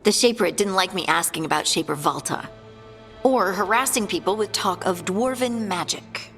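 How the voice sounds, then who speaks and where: A woman's voice: mid-range voice, normal speed, fairly bright tone, natural voice. Thoughtful dwarf woman in a reverberant hall by an actress. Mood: wry, thoughtful. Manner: explanatory, thoughtful. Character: thoughtful, earnest female dwarf scholar, curious and good-humoured. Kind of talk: video game character acting. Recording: long hall reverb, faint music.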